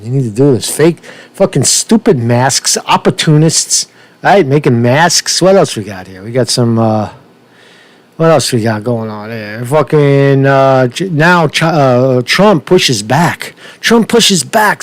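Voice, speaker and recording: A man's voice: medium pitch, dry recording. A man giving casual, rambling news commentary as a host, swearing often, with slangy, repetitive and stumbling delivery. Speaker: host of his own news commentary show.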